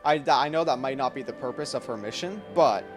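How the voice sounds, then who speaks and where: A woman's voice: a bass voice, animated, fairly quick. A woman giving casual, chatty and playful commentary as she streams a video game. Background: faint game music.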